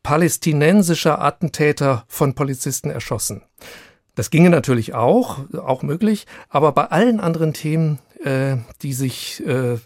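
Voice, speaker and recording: A man's voice: a little high, animated, moderate pace, no room sound. Dry-sounding male radio host. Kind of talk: scripted radio feature narration and interviewing.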